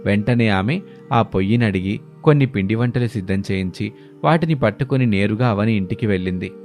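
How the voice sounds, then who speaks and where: A man's voice: fairly low pitch, fairly quick, darkish tone, soft background music. A man narrating a scripted moral story as the storyteller.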